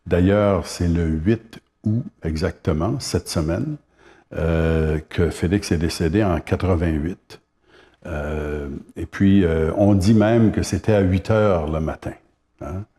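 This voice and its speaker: A Québécois man in his seventies with a very low, mellow voice speaks as a guest. He reminisces at an unhurried pace, pausing often on hesitations. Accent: Québécois French. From Gaspé Peninsula, Quebec. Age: 70s. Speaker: singer-songwriter guest. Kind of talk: casual interview chat.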